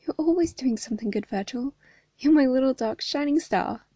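A woman voicing a gentle, caring big brother. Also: high voice, expressive, normal speed, no echo, voice pushed up. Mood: reassuring. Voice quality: hoarse. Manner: gentle and reassuring. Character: warm, caring older brother.

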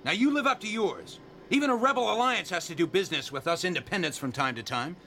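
Cocky, animated space smuggler. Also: male voice, high-pitched, quick pace, exaggerated voice. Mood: indignant. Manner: blunt, wisecracking, brash. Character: cocky, mercenary, sarcastic male starship smuggler. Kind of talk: radio drama acting.

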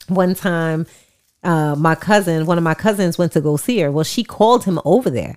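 Woman, low-pitched voice, podcast co-host, casual chat, laughs often. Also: normal speed, a little mellow, smooth. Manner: laughs often while telling stories.

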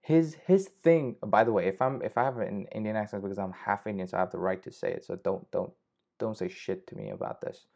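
A man's voice: lowish voice, brisk, creaky, a little mellow. A young man hosting a casual solo podcast, rambling with frequent swearing and restarts. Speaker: podcast host. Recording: slightly dull lo-fi audio.

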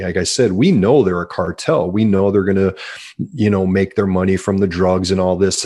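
A man's voice: low voice, darkish tone. A man in his forties, an author answering interview questions earnestly and repeating words as he starts sentences. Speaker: author guest.

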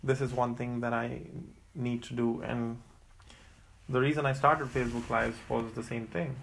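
Gravelly man, chatty podcast co-host. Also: mid-range voice, flat delivery, normal speed, a bit bright, a little hiss or hum, low-quality recording. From India. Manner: chatty, teasing, self-assured. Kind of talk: casual chat.

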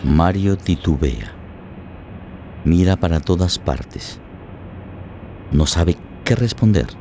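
A man's voice: low-pitched, expressive, takes it slowly, vocal fry, mellow, slight background noise. A man reading dramatic scripted narration for a radio drama. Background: music.

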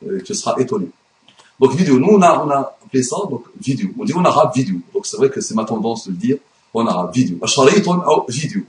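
A man's voice: mid-range voice, sing-song, fast talker, a little mellow. Guy ranting about religion on his channel, emphatic, echoey room. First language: Arabic. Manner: emphatic, indignant. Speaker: YouTube commentator. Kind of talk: religious commentary monologue. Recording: roomy echo.

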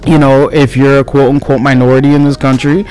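Cuban-heritage guy chatting, animated, jumps way up when quoting people, bit of music under it. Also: medium pitch, poor audio. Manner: animated, fast asides, voice jumps up for quoted remarks. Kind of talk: casual chat.

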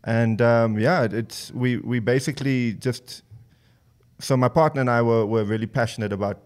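A man from Namibia speaks as a guest in a relaxed interview. He has a Southern African accent and a medium-pitched voice. He explains things casually, often pausing to rephrase. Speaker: podcast guest, business co-founder.

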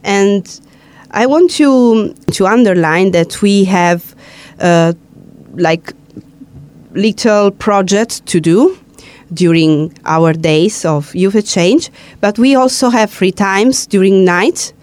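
Woman host, chatty and teasing, mid-pitched voice. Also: slow, a little mellow. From Italy. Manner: chatty, playful, laughs and teases guests. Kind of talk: casual radio-style interview.

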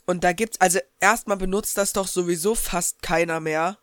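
Teen boy co-host, slightly congested, high-pitched, dry close sound. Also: steady tone, moderate pace, a bit bright, no echo. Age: teens. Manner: chatty, eats while talking. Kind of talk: casual chat.

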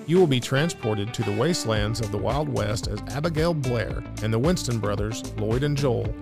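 A young man with a gravelly voice reading a scripted podcast introduction as host. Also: mid-range voice, expressive, moderate pace. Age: young adult. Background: guitar music.

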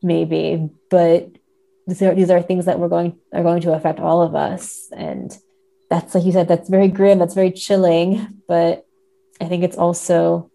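A woman's voice: fairly low pitch, measured pace, creaky, warm tone. A woman in a conversational literary discussion, speaking thoughtfully as a podcast guest and often checking in with tag questions.

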